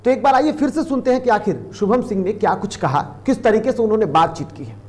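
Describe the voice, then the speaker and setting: A man's voice: sits high, animated, fast talker, a little mellow. A man anchoring the news, commenting on a report in an emphatic, wordy, repetitive way.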